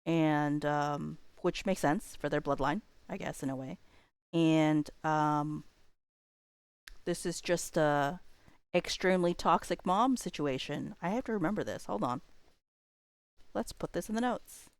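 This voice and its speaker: Woman streamer, low register, dry close sound, loose rambling delivery, thinks aloud in casual chat. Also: measured pace, clean tone, no room sound. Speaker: livestream host.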